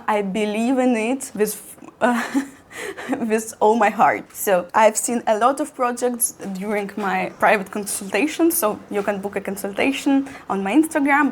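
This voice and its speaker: Young woman, bright voice. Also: fairly high pitch, a little hiss or hum. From Russian-speaking. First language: Russian. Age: 20s. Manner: friendly, with nervous little laughs and non-native phrasing. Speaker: architecture student and vlogger. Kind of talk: casual educational talk to camera.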